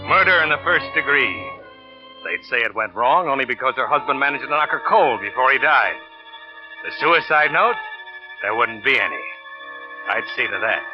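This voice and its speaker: An actor playing a scheming, vengeful lover in a 1940s radio thriller, narrating in a tense, hard-boiled way. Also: male voice, mid-range voice, lively intonation, normal speed, pauses often, lightly acted. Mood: bitter, vindictive. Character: scheming, vengeful male lover in a murder plot, bitter and desperate. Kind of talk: 1940s radio suspense acting, first-person narration. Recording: dull old radio recording with music.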